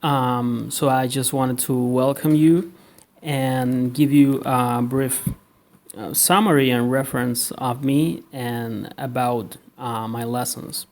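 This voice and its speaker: A man from Argentina with an Argentine Spanish accent, a native Spanish speaker, introducing his online language lessons with many pauses and a habit of checking in with "okay?". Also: medium pitch, fairly even intonation. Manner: halting, many fillers and restarts, tag "okay?". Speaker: language teacher.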